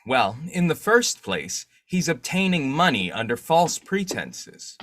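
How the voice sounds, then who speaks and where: A man playing an urbane newspaper publisher in an old-time radio drama, measured, polite and formal with a sly streak. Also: fairly high pitch, big pitch swings, a bit bright. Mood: skeptical.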